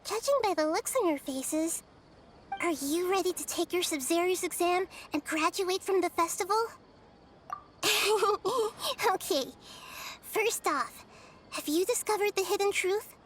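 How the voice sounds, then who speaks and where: A woman voicing a small, childlike goddess in a video game, a playful and gentle teacher with a measured, teasing manner. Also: very high voice, slight background noise.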